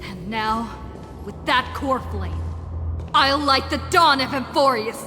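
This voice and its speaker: A fiercely loyal, defiant game heroine, dramatic delivery; big hall reverb over loud music. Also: female voice, sits high, animated, slow, exaggerated voice. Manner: declamatory, emphatic. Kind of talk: dramatic video game voice acting.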